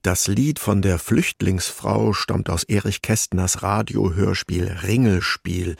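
A man's voice: fairly low pitch, vocal fry, husky, no room sound, clean studio sound. A man presenting a cultural radio feature as scripted narration.

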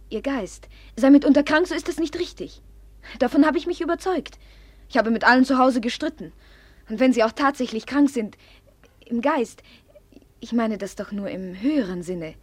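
An actress playing a proud, impulsive, jealous young woman of good family in a classic German radio play, her manner volatile and demanding, her voice breathy. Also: on the high side, no echo, lightly acted. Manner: imperious, volatile, swinging from confiding to accusing.

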